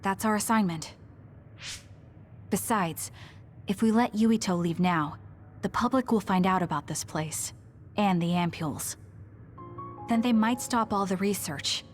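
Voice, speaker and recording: A woman's voice: medium pitch, measured pace, pauses often, lightly acted. A young woman playing a determined, guarded squad leader in an anime-style game dub, speaking firmly and tersely. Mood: grimly resolved. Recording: loud music behind.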